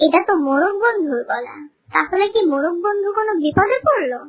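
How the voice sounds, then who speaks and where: A woman's voice: very high voice, animated, fairly quick. A woman voicing a small, caring starling, an earnest and cautioning friend in a children's cartoon. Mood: worried.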